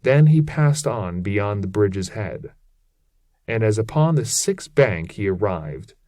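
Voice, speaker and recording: Guy reading old epic poem out loud, slow n dramatic, mellow voice, declamatory. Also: lowish voice, sing-song, dry recording. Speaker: reader.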